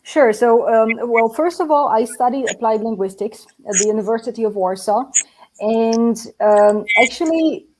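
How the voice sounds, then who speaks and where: A woman with a Polish accent answers interview questions in a friendly way, laughing easily, her voice pitched a little high. She speaks from an office over a video call, with a faint hiss of noise behind her. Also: expressive, moderate pace, darkish tone, low-quality recording. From Poland. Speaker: guest, conference organizer.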